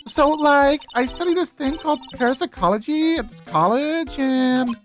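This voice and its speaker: A woman's voice: high voice, normal speed, faint music behind, pitched above the performer's own voice, lightly acted. A woman voicing a ditzy, carefree young college student with an airy valley-girl drawl in a video game dub. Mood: ditzy, breezy.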